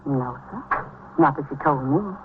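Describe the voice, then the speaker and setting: An actress playing a grieving, devoted housemaid in a vintage radio drama, plaintive and repetitive, with folksy, deferential speech. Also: female voice, deep voice, expressive, measured pace, narrow, tinny audio. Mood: subdued, deferential. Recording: thin, band-limited old radio, noisy.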